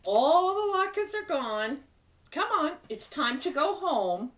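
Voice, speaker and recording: Lady putting on a sweet old granny voice, high and sing-songy, lots of swoop, slow. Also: sing-song, fairly bright tone, recorded from a distance, exaggerated voice. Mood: fond. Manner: high, sing-song and fussing. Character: kindly old grandmother, warm and teasing. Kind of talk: storybook read-aloud.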